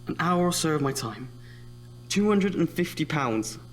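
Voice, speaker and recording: An actor playing an anxious young British student in an audio drama, nervously talking himself through his fear of the dark. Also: a little high, animated, moderate pace, background noise, close to an everyday voice. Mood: uneasy, wry. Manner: jittery, muttering self-talk with sighs. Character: anxious student afraid of the dark, self-scolding.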